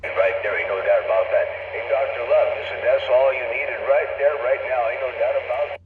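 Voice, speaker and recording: A man's voice: mid-range voice, steady tone, fairly quick. A man heard over a CB radio, chatting casually and repeating folksy catchphrases. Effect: CB radio transmission. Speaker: CB radio operator. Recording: narrow, tinny CB radio speaker.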